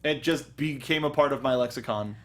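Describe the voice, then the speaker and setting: A man's voice: mid-range voice, a little fast. A man co-hosting a film review show, talking casually with a fan's enthusiasm. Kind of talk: casual chat and commentary.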